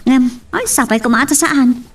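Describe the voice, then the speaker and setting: A woman's voice: sits high, normal speed, recorded from a distance. A woman playing a nosy but caring young friend in an audio drama, probing and concerned. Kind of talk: Ilocano radio-style drama acting.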